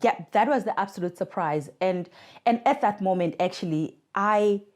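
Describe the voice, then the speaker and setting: A woman's voice: medium pitch, normal speed, darkish tone. Earnest South African woman telling her story. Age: 30s. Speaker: guest, communications worker for a mothers' charity. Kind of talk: podcast interview, personal story. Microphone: remote recording.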